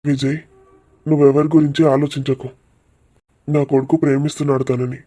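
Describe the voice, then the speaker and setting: A man's voice: mid-range voice, flat delivery, a little fast, warm tone, close to an everyday voice. A man voicing a genial, firm middle-aged father in a cartoon village drama. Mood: earnest, reassuring.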